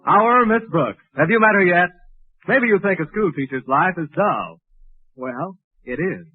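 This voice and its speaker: Old time radio announcer guy, slightly high voice, music behind, tinny recording. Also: expressive, unhurried, husky. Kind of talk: 1940s radio scripted narration.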